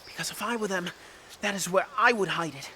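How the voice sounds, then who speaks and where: A woman's voice: medium pitch, fairly quick, sharp tone, a little hiss or hum, natural voice. A performer playing a boastful, cunning young boy prince in an audio drama, grand and playful in manner. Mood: urgent scheming. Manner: grandiose, mock-heroic.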